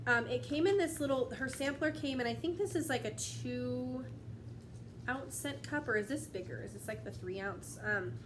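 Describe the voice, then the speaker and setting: A young woman talking to her tablet camera at home, a touch higher-pitched than most and slightly stuffy from a cold. She rambles in a chatty, friendly way, laughing often. The recording carries clear background noise. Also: normal speed. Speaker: wax melt vlogger. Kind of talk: casual chat to camera. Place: at home, indoors.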